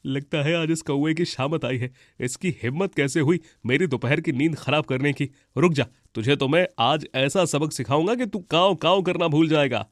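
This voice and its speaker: A man's voice: fairly high pitch, moderate pace, hardly any pauses, no room sound, studio quality, natural voice. A man voicing an angry, boastful guard cat in a children's cartoon. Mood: angry threatening. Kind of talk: Hindi children's cartoon voice acting.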